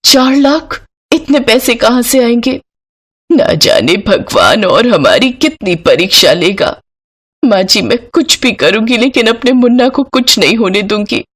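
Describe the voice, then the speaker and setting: A woman's voice: on the high side, animated, a little slow, a bit bright, husky, voice pushed up, exaggerated voice. A woman voicing an anxious, devoted young mother and daughter-in-law in a storybook tale, emotional and pleading. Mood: distressed.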